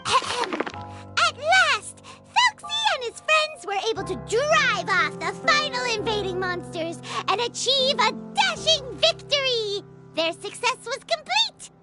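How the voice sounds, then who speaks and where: A woman voicing a tiny, excitable fairy girl in a video game, speaking with earnest, theatrical flair. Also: very high-pitched, a little slow, over loud music, exaggerated voice. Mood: eager. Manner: earnest, theatrical storytelling, exclamatory.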